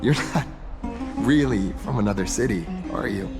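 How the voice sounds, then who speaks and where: A man's voice: on the low side, lively intonation, normal speed, vocal fry. A man playing a friendly, eager young explorer in a video game, chatty and earnest, with a stammer. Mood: hesitant, probing. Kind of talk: video game character dialogue. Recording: loud music behind the voice.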